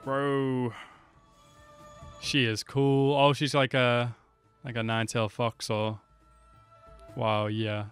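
A young man in his twenties speaks into a close desk mic in a casual, slangy way, reacting as he watches. His pitch is middling, and game music plays faintly behind him. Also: little pitch movement, slow pace, pauses often, a bit bright. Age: 20s. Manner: slangy, laid-back, swearing. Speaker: reaction video host. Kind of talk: casual live reaction commentary. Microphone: close desk microphone with headset. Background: game trailer audio with music.

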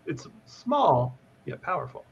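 A man's voice: on the high side, big pitch swings, slow pace, creaky. A man, chatting casually as co-host on a live stream. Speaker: co-host, developer advocate. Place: indoor room, on a video call. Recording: dull, noisy webcam audio.

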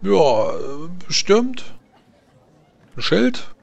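Guy doing a little boy page for a tabletop game, pitched up, hesitant, wide-eyed. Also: wildly swooping pitch, extremely slow, creaky, no echo, exaggerated voice. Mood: unsure, eager. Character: eager, awestruck boy page of about twelve.

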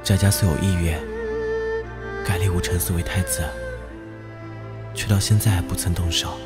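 An actor playing a sober, cautious young prince and elder brother, measured and admonishing, in a historical audio drama. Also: male voice, low-pitched, steady tone, over loud music, close to an everyday voice. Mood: grave.

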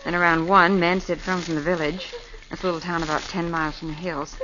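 A woman's voice: lowish voice, level tone, fairly quick, natural voice. An actress playing a practical woman assistant in a 1940s radio drama, matter-of-fact and reassuring. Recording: dull old radio recording with faint hiss.

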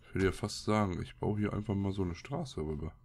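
A man's voice: low voice, fairly quick, gravelly, a little mellow. A man with a cold and a scratchy throat, giving casual, colloquial gameplay commentary as he mutters to himself. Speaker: let's play host.

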